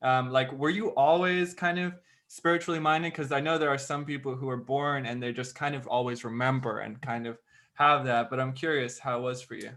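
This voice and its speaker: A young man co-hosts a podcast in a laid-back way, full of "like" and casual swearing. His voice sits at an ordinary middle pitch. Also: fairly even intonation, fairly quick, fairly bright tone. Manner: casual, lots of "like", swears freely. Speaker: podcast co-host.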